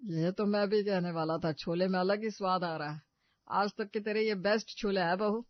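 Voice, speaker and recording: A woman's voice: medium pitch, moderate pace, dry recording, dull audio, close to an everyday voice. A folksy old father-in-law in a storybook family tale, voiced by a woman, musing as head of the house. The recording is dry and slightly dulled. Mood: genial.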